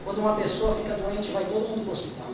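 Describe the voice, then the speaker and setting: A man's voice: sits high, little pitch movement, quick pace, heavy background noise. A man with a Brazilian accent preaching a Pentecostal sermon as an evangelist, telling stories theatrically and calling on the congregation to respond. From Brazil, family from the Northeast. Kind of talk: Pentecostal sermon with dramatic storytelling. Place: church hall with PA. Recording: echoey church PA, distant mic, music behind. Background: music.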